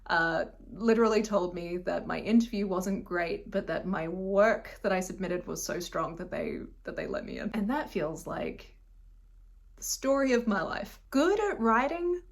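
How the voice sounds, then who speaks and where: A woman in her thirties with a slightly unusual Australian accent, chatting casually to camera in a rambling, self-deprecating way. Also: mid-range voice. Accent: Australian, a bit unusual. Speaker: booktube vlogger. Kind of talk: casual direct-to-camera vlog.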